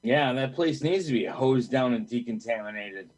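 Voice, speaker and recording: A man's voice: mid-range voice, normal speed, natural voice, poor audio. A man playing a sarcastic, teasing father in an amateur audio play, with dry mockery. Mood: dry, mocking. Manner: dry, mocking. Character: sarcastic, teasing father who mocks hippies.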